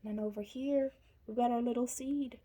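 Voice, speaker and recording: High, husky-voiced woman talking to children. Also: fairly even intonation, normal speed, mellow, rough recording. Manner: simple short phrases, pointing things out. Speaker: story reader commenting on pictures. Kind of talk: child-directed picture book commentary.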